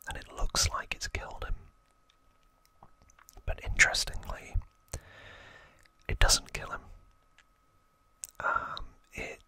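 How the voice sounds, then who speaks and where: An ASMR host whispering casual, informal trivia narration in a breathy, unvoiced voice. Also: sharp tone, no echo.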